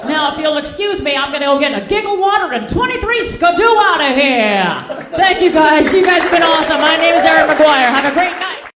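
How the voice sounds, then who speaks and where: High-voiced woman with 1940s screwball delivery. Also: lively intonation, brisk, over a noisy crowd, very noisy. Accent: American. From Boston, United States. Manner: old-fashioned, 1940s screwball way of talking. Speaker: stand-up comedian. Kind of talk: stand-up comedy to a live audience. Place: indoor live comedy show, audience behind. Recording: echoey live room with audience.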